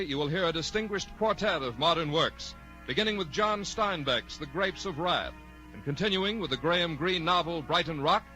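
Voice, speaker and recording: A man's voice: a little high, sharp tone, rough recording. A man with an American accent reading formal, polished announcements in the style of 1940s network radio. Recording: 1940s radio broadcast recording.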